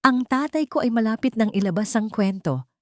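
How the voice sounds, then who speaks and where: A woman's voice: on the high side, animated, no room sound, clean studio sound, pitched above the performer's own voice, natural voice. A woman with a Filipino accent voicing a grave, determined man in a dramatic audio story. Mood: bitter. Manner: grave, deliberate. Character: serious, determined man, journalist's son seeking justice.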